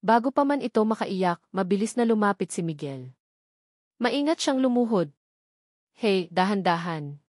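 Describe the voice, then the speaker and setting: A woman's voice: on the high side, expressive, moderate pace, darkish tone, no room sound, studio quality. A woman with a Filipino accent narrating a scripted dramatic love story as an audio drama.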